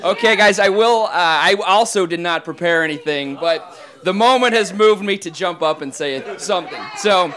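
High-voiced man giving an impromptu toast. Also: normal speed, fairly bright tone, a faint crowd. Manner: reflective, hesitant. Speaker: wedding guest giving a toast.

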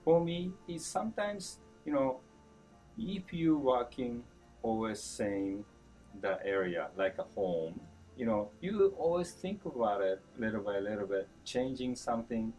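A man in his fifties from Japan with a Japanese accent, answering interview questions in broken English with chuckles and lively gestures. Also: medium pitch, unhurried, soft background music, poor audio. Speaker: chef being interviewed. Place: indoor store event, seated before a branded backdrop.

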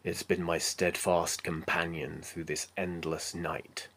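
A man playing a resolute, battle-worn armored knight in a dramatic film monologue, declaiming slowly and solemnly with breathy phrase endings. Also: deep voice, level tone, a bit bright, full character voice. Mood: grim, foreboding.

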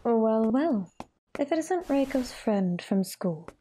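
A woman's voice: on the high side, lively intonation, slow pace, dark tone, a little hiss or hum. A woman voicing a domineering aristocratic mother in an anime fandub, speaking formally with composed, polite condescension. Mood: icily polite. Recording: music behind the voice.

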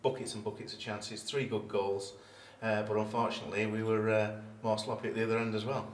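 A man's voice: lowish voice, level tone, brisk. A male interviewer asking a football manager brief, conversational questions after a match. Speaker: club media interviewer. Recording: echoey room with faint hiss.

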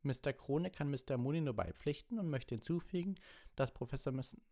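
A man's voice: medium pitch, brisk, mellow, voice pushed up, close to an everyday voice. A man voicing an enchanted map that delivers insults with mock-polite formality in an audiobook. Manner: formal, mocking. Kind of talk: audiobook character reading.

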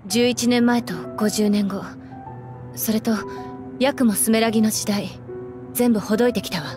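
A woman's voice: a little high, fairly even intonation, moderate pace, over music, lightly acted. An actress voicing a composed, serious young woman fighter in a Japanese anime game. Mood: serious, reflective.

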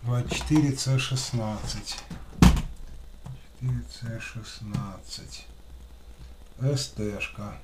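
A man in his thirties with a lisp, giving casual, unhurried hands-on commentary as he works at a desk. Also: medium pitch. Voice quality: lisping after dental work. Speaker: electronics repair technician and video host. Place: small room at a desk, indoors. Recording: roomy echo with clear background noise.

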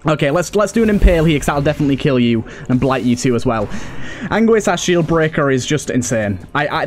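A British man giving casual let's play commentary, thinking aloud and addressing the characters on screen as he goes. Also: medium pitch.

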